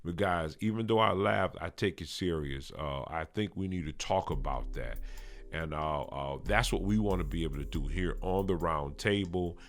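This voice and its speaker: A man hosting a podcast, talking casually to live viewers in an earnest, halting way with stammered restarts and rhetorical questions. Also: sits low. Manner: halting, repetitive phrasing with frequent stammered restarts. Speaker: podcast host.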